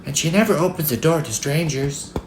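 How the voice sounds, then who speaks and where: A man's voice: fairly high pitch, a little fast, roomy sound, a little hiss or hum, pitched above the performer's own voice, close to an everyday voice, rough recording. A man voicing a sweet, naive little girl in a storybook, exclaiming and drawing out words. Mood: innocent.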